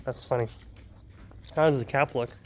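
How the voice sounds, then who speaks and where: A man's voice: medium pitch, steady tone, moderate pace, slight background noise. A young man, a little sick and wheezy, talking casually through his car work in a garage vlog with lots of ums and side remarks. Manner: rambling, lots of ums and asides. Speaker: car hobbyist vlogger. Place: home garage.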